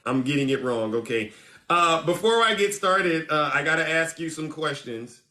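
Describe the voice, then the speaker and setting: A man's voice: on the high side, expressive, normal speed. A man hosting a live call-in stream, teasing his callers in a playful way. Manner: playful, teasing, swings between loud and soft. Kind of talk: casual live call-in chat.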